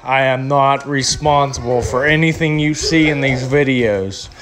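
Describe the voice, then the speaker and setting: A man's voice: mid-range voice, unhurried. Playful young man rambling in a voice-over. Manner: playful, rambling, mock-serious. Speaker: restroom review vlogger. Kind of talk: casual joking voice-over review. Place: home kitchen, voice-over.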